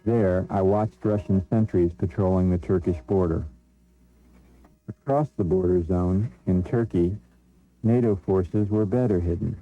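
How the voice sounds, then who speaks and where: Deep-voiced man narrating a documentary. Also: unhurried. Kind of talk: scripted first-person documentary narration. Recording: narrow lo-fi sound with faint music. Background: faint music.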